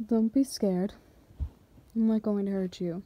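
Woman playing a slow-talking, teasing female boss. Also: medium pitch, warm tone, highs cut off. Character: confident, teasing female boss confessing to an employee. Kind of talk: ASMR roleplay.

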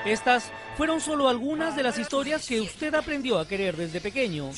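High-pitched, animated male TV narrator. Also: moderate pace. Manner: dramatic, storytelling delivery. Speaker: reporter. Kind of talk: scripted TV feature narration. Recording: studio voice-over with loud music bed. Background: loud music.

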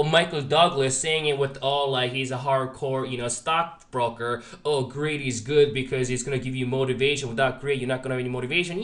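A man's voice: a little high, fairly bright tone. A man giving informal, emphatic market chart commentary with rhetorical check-in questions, his voice slightly congested from a sore throat and sinus trouble. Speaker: market analyst and host of a trading update. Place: voice-over on a screen recording.

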